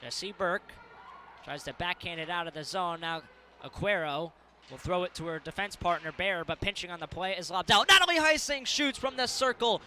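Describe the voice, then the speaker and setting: Casting: young male sports broadcaster, early 20s, high voice, some background noise. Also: animated, normal speed, pushed and bright. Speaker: sports play-by-play broadcaster and reporter. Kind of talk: hockey play-by-play commentary and scripted sports news narration.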